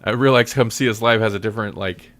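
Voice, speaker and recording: A man hosting a casual podcast chat, often halting and thinking aloud as he talks. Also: lowish voice, a bit bright. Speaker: podcast host.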